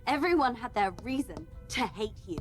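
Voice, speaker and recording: High-voiced, brittle captive woman. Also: sing-song, normal speed, soft background music. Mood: bitter, defiant. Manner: pleading, brittle, dramatic. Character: frightened but scornful captive office woman, pleading for mercy. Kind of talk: radio play acting.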